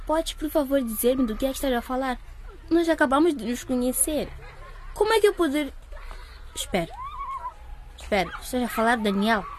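A woman's voice: high-pitched, lively intonation, normal speed, pauses often, slight background noise. A woman playing an earnest, anxious pregnant teenage schoolgirl in a radio drama, with a deferential, emotional manner. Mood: shocked, hurt.

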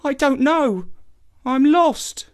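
A man voicing a lost young hunter in a folktale, speaking high and plaintive. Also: very animated, unhurried. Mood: forlorn.

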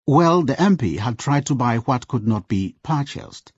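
Lively male radio drama narrator. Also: mid-range voice, unhurried, slightly rough, no echo. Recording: dull lo-fi recording with faint music.

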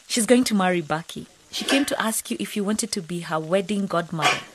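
A woman's voice: on the low side, expressive, a little fast, heavy background noise, close to an everyday voice. A woman playing a strong-willed, wary young village woman in a radio drama. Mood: matter-of-fact.

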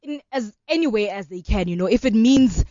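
A woman's voice: mid-range voice, expressive, a little fast, dark tone, no room sound, highs cut off. A woman in her twenties with a South African accent, talking earnestly in a radio interview and filling her talk with "you know". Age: 20s. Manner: earnest, fluent, many fillers like "you know". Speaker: law student and organization founder.

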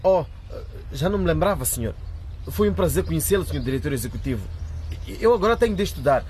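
A man's voice: on the high side, lively intonation, quick pace, a little mellow, a little hiss or hum, lightly acted. A man playing a polite, flustered schoolboy in a radio drama.

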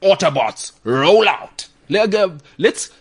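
A man with a South African accent hosting a talk show, giving opinions in casual, slangy, animated banter. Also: high voice, slow, a little hiss or hum. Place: studio.

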